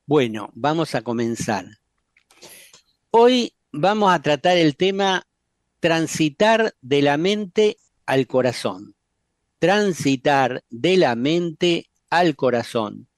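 A man who hosts a spiritual radio talk show, calmly handing the word to each guest. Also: a little high, slow, no room sound, studio quality. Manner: calm, friendly moderator passing the turn. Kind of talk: casual spiritual radio chat.

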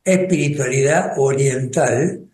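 An older man with an Argentine Spanish accent speaks slowly and thoughtfully, with pauses and restarts, as he recalls his past in a radio conversation. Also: mid-range voice, darkish tone, roomy sound. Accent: Rioplatense Spanish. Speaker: spiritual group coordinator and radio guest.

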